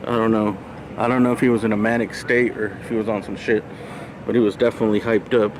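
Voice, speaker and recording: A man's voice: lowish voice, flat delivery, fast talker, noisy background. A man chatting casually as a live streamer, slangy and prone to swearing, with bro-talk.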